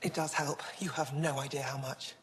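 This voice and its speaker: An actress voicing an earnest alien antiquities scholar in a video game, full of wonder. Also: female voice, extremely low pitch, fairly even intonation, normal speed, slight background noise. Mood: awed, grateful. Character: awed, grateful female alien antiquities scholar. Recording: long hall-like reverb.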